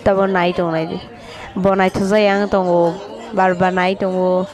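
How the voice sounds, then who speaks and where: A woman's voice: lowish voice, normal speed. A woman vlogger chatting casually as she walks through an outdoor market, filming on a handheld phone. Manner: chatty, relaxed running commentary. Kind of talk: casual market walk vlog. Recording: crowd noise of a busy market. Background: busy market crowd.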